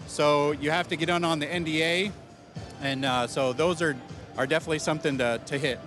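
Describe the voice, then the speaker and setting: A man's voice: a little high, steady tone, fairly quick, fairly bright tone, soft background music. A man from Wyoming, a network admin, answering an interviewer in a laid-back, plainspoken way.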